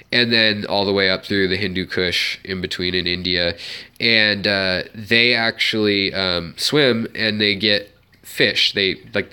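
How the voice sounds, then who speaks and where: A man's voice: on the low side, sharp tone, smooth. A young man with a stuffed-up nose, chatting casually and rambling on a home live stream beside his fish tank. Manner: rambling, chatty, many fillers. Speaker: aquarium channel host.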